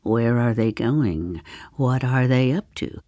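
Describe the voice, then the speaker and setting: An older actress playing a wry, chatty seventy-five-year-old woman in a darkly comic stage monologue, musing to the listener with deliberate pauses. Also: a bass voice, lively intonation, dark tone, no echo, clean studio sound, exaggerated voice. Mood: confiding, wry. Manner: deliberate, dramatic pauses, dropping to confiding asides. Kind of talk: theatrical monologue, storytelling to the listener.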